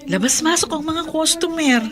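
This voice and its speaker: A woman's voice: sits high, moderate pace, lightly acted. A woman acting a friendly, considerate Filipino mother chatting in a radio drama. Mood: friendly, chatty. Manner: chatty, sympathetic.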